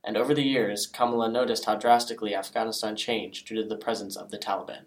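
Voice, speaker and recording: A man reading scripted feature narration in a measured, explanatory way. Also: lowish voice, level tone. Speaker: reporter, narrator.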